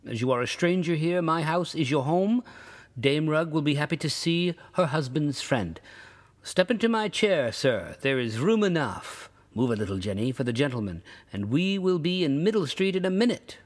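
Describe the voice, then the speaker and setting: A man's voice: fairly high pitch, expressive, normal speed, pitched above the performer's own voice. A man voicing a proud, bewildered old-fashioned carriage driver who talks in formal, boastful speech and in a raised voice.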